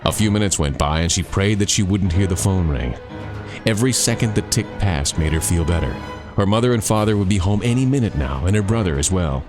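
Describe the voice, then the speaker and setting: Deep-voiced man telling scary stories. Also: lively intonation, fairly quick, over music. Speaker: author and narrator. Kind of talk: scripted scary campfire storytelling.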